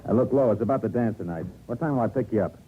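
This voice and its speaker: An American actor playing a surly, possessive, short-tempered rancher in a 1950s radio drama, curt and pushy. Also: male voice, fairly low pitch, animated, fast talker, lightly acted. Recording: tinny old radio recording.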